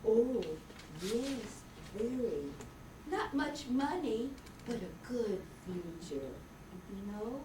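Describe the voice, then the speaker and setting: A woman's voice: fairly high pitch, animated, very slow. A woman playing Ruth, a poised, wry young bride in an old-time radio drama. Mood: earnest. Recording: noisy echoey room, distant mic.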